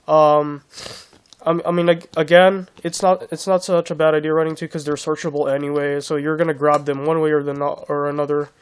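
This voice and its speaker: A young man with a hoarse voice from being sick, casually walking through his card game deck with many restarts. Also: a little high, flat delivery, brisk. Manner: rambling, many restarts and fillers. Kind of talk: casual deck profile walkthrough.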